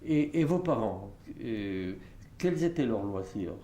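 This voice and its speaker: A man's voice: on the low side, expressive, slow pace, dark tone. A man conducting an oral history interview, asking probing, leading questions. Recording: roomy with faint noise.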